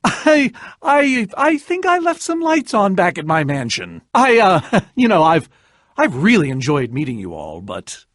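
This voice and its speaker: Video game lead: a self-important, jittery adventurer, high-pitched and very animated, theatrical and wordy. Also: male voice, a little slow, no echo, clean studio sound, exaggerated voice. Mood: anxious, alarmed. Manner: wordy, theatrical, stammering when flustered. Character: boastful, nervous, evasive adventurer and self-styled leader. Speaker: voice actor in a story video game.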